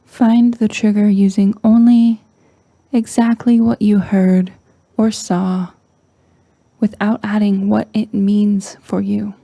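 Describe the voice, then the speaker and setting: A woman leading a guided meditation in a soft, breathy, near-whispering voice, calm and gentle with long pauses. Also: mid-range voice, dry recording. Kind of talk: slow guided meditation narration.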